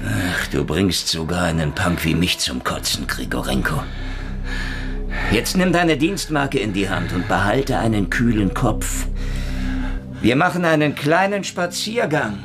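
Sardonic gun-toting tough guy, wildly animated delivery. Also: lowish voice, unhurried, over music. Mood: mocking defiant. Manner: sardonic, needling, mock-polite. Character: brash, wisecracking, defiant male intruder interrogating KGB men at gunpoint. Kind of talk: German radio drama acting.

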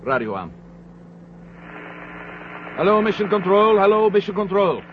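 A headstrong astronaut in a vintage radio play. His voice sits high for a male, and he talks in a commanding, impatient way. The old recording is dull, with clear noise over it. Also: big pitch swings, unhurried. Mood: determined. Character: headstrong, curious male astronaut on a moon mission. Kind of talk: 1970 Dutch radio drama acting.

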